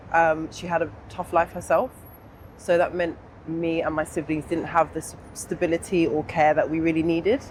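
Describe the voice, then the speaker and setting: A young adult woman with a southern English accent speaks candidly and informally in an interview, pausing to think as she reflects. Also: fairly low pitch, a little hiss or hum, low-quality recording. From England. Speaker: interview guest.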